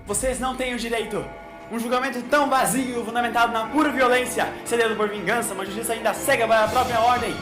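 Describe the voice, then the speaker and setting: Man acting a high-strung, haunted Brazilian boy. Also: steady tone, fast talker, roomy sound, music in the background. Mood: furious, grandiose. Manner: impassioned, grandiose proclaiming. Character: haunted small boy housing a wrathful exiled Time Lord.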